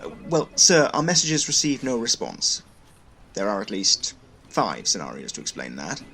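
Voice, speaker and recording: An actor playing a brisk, wordy station logistics manager in a sci-fi audio drama, explaining things with dry wit. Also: male voice, on the low side, wildly swooping pitch, pushed and bright, a little hiss or hum, exaggerated voice. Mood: careful, apologetic. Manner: chatty, wry, long-winded explanations.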